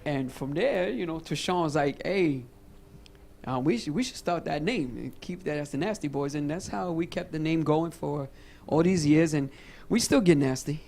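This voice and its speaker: Chill ny guy with kinda high voice telling stories, faint hiss behind. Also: moderate pace, vocal fry, a little mellow. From Puerto Rico, raised in New York. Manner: laid-back, joking storyteller. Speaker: guest performer.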